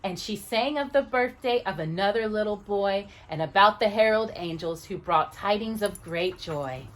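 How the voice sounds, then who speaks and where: A woman's voice: mid-range voice, expressive, normal speed, a little hiss or hum. A woman reading a children's picture book aloud expressively, with casual asides to the listener.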